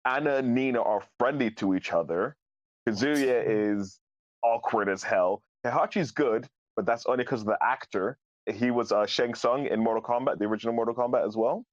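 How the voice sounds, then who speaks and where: Male podcast panelist, deep-ish and blunt, casual and opinionated, slightly dull, dry audio. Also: normal speed, dry recording.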